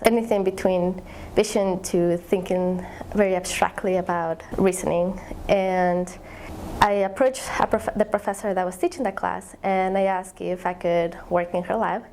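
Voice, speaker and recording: Warm, gravelly woman. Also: mid-range voice, a little hiss or hum, low-quality recording. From Ecuador. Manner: thoughtful, searching for words with many fillers. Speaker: graduate student researcher. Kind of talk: interview about her research. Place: eye tracking lab.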